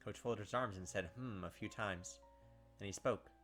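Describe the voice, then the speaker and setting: A man hosting a gaming video, reading a visual novel aloud with animated, blunt casual commentary. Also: on the low side, moderate pace, faint music behind.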